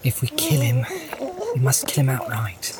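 A man's voice: medium pitch, huge pitch swings, moderate pace, a loud crowd. A man voicing an earnest hobbit master in a storybook reading, grave and firm yet merciful. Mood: grave, resigned.

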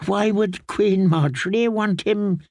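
A man's voice: sits high, takes it slowly, dry recording, clean studio sound, pitched above the performer's own voice, heavily acted. A man voicing a frightened elderly male court healer who stammers and pleads in an audiobook. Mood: fearful. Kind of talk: audiobook character voice.

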